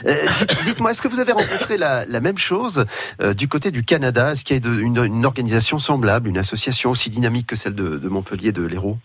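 A man's voice: mid-range voice, expressive, quick pace, a bit bright, breathy edge, dry recording. Dry-sounding male radio host in studio. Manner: friendly, guiding, with fillers. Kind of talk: live radio interviewing. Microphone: studio mic.

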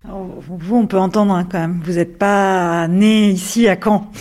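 A woman interviewer asking brief, gentle prompting questions in a documentary interview. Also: mid-range voice, fairly even intonation, measured pace.

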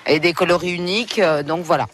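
A woman's voice: lowish voice, quick pace. A cheerful woman in her forties, a market stallholder bantering casually in a live radio chat. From Portuguese origin. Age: 40s. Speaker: market stallholder being interviewed. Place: outdoors at a town fair.

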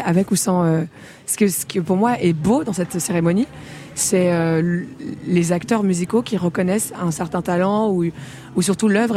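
A woman from the Congo speaks as a singer guest on a radio interview, quick and candid. Her voice is warm and mellow, and she talks at a rapid clip. She laughs easily and trails off into fillers. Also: lowish voice, a little hiss or hum.

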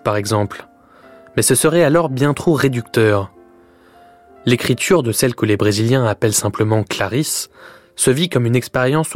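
A man giving a measured, literary scripted introduction as a radio presenter. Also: mid-range voice.

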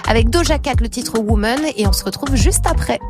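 High, upbeat woman hosting a radio call-in, studio mic, warm with callers. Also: lively intonation, music in the background. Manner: upbeat, friendly, quick to agree with callers. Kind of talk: live radio phone-in hosting.

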